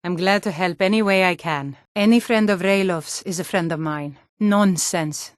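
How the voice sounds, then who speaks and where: A woman's voice: lowish voice, moderate pace, no room sound, clean studio sound, natural voice. A woman playing an earnest, protective Nord townswoman in a fantasy video game, with a plain-spoken, emphatic delivery. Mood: concerned, welcoming. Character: earnest, protective Nord townswoman, no longer young, loyal to rebels.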